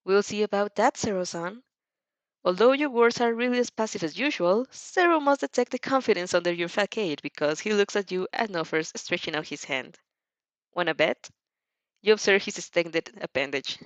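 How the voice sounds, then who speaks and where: A woman reading fan fiction aloud as an expressive narrator who also does character voices. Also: mid-range voice, brisk, few pauses, dry recording. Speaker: fan fiction audio reader.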